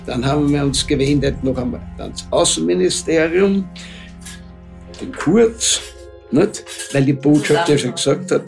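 A man's voice: on the high side, a little slow, gravelly, a little mellow, faint music behind. A man, talking at length with frequent tag questions. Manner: talkative, adds tag questions. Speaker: interviewee, friend of victim.

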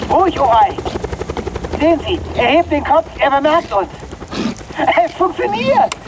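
An actor playing a bold, excitable male veterinarian in an audio drama, giving urgent commands and coaxing. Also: very high-pitched, a little slow, heavily acted. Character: bold, excitable male veterinarian leading a monster-luring mission. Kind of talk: dramatic audio play acting. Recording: loud helicopter and vehicle noise.

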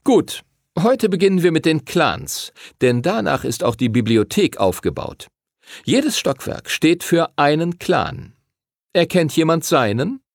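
Man acting a lively, high-pitched friendly little teacher. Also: unhurried, creaky, no room sound, clean studio sound, full character voice. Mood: explaining. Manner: solemn, instructive. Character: small friendly male library master, solemn yet playful teacher.

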